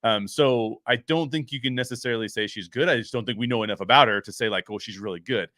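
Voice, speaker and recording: A man's voice: mid-range voice, quick pace, fairly bright tone, dry recording. Man giving conversational film commentary, dry close sound. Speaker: host of a pop-culture commentary show.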